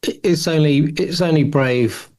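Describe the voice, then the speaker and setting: A man's voice: a little high, a little slow, darkish tone. A man co-hosting a casual podcast discussion, musing tentatively and often rewording his sentences midway. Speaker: podcast co-host.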